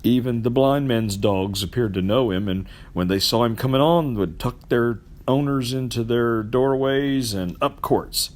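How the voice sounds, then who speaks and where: A middle-aged man narrates literary fiction as an expressive storyteller, with emphatic, theatrical and deliberate phrasing. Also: mid-range voice. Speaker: storybook reader.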